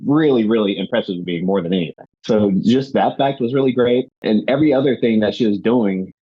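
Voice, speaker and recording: A man's voice: fairly low pitch, a little fast, slightly rough, no echo. A man earnestly praising a film performance in casual podcast talk, full of fillers. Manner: earnest, enthusiastic, filler-heavy. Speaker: podcast guest. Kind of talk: casual movie discussion. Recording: dull, lo-fi mic.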